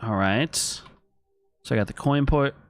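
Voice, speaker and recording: Man, game streamer, casual running commentary to viewers, mid pitch, dry close mic. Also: a little slow, no room sound. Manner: thinks aloud, chats to viewers, reads on-screen text. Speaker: gaming streamer. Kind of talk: casual let's-play commentary.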